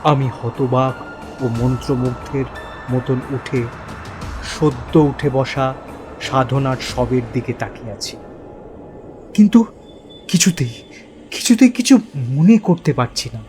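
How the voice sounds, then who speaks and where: A man playing a bewildered narrator in a first-person horror story, speaking theatrically in halting, suspenseful repeated phrases. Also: mid-range voice, over music, close to an everyday voice. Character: bewildered man haunted by forgotten childhood memories.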